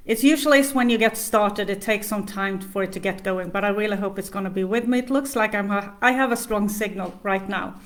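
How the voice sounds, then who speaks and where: A woman's voice: medium pitch, fairly quick, few pauses. A middle-aged woman from Sweden with a Swedish accent, chatting to viewers as a business coach on a live stream, with many fillers.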